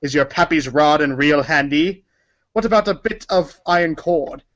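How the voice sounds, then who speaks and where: A man's voice: fairly high pitch, normal speed, a bit bright, lightly acted. Man putting on a pompous old-timey prankster colonel. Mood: jovial, pompous. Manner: florid, exclamatory, archaic wording. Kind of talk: theatrical reading of a humor book. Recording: dull lo-fi audio.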